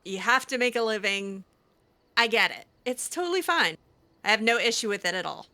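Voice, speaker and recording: Young woman gamer-roleplayer: bright, lively tone, hesitant, filler-heavy delivery. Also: on the high side, normal speed. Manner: hesitant, lots of fillers, laughs easily. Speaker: let's-play roleplayer. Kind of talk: casual in-character game roleplay.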